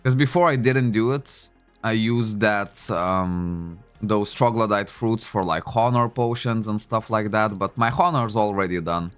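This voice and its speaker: A man whose first language is Lithuanian talks in English in a slightly low voice, rambling along with plenty of ums and uhs. He sounds relaxed and conversational, speaking close to a desk microphone in a room at home. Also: measured pace, clear, resonant tone, soft background music. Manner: chatty, thinking aloud with many fillers. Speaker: game streamer. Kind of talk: casual livestream commentary.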